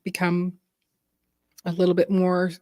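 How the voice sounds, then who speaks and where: A middle-aged woman from Canada hosting a casual live stream, chatty, laughing often and clearing her throat. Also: on the low side, completely flat delivery, measured pace, mellow, no echo, clean studio sound. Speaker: host.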